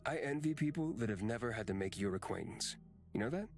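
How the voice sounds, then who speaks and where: A man's voice: mid-range voice, lively intonation, fast talker, faint music behind, lightly acted. Man playing a grumpy, sarcastic young man. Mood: exasperated. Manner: dry, cutting, impatient. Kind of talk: visual novel voice acting.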